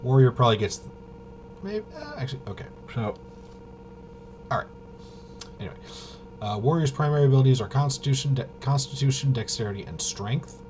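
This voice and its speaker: A man hosting a casual, unscripted tabletop game walkthrough, halting and rambling as he thinks aloud. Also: mid-range voice, expressive, background noise. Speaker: host of a tabletop RPG channel.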